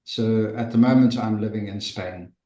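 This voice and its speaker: A man with a South African accent introducing himself as a leadership psychologist and writer on a video call, speaking slowly with many fillers. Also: lowish voice, warm tone, roomy sound. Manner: slow, hesitant, many fillers.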